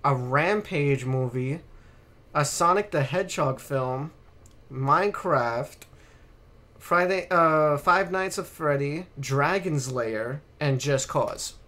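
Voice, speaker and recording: Slightly high-voiced man, casual and emphatic. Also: slow pace, bright, forceful tone. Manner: exasperated, emphatic, repeats words for stress; heavy casual swearing. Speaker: podcast host. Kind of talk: casual chat, ranting film commentary.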